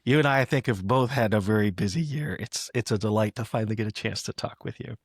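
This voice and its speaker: A man hosting a poetry podcast, talking and reading in a reflective, halting conversational way. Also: lowish voice, husky, no room sound, studio quality. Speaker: podcast host. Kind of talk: conversational poetry interview with read-aloud poems.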